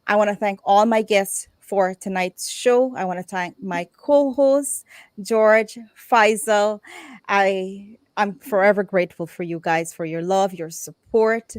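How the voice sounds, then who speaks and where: A woman's voice: mid-range voice, measured pace, no room sound, studio quality. A middle-aged woman hosting a live online talk show, speaking in an effusive, heartfelt way.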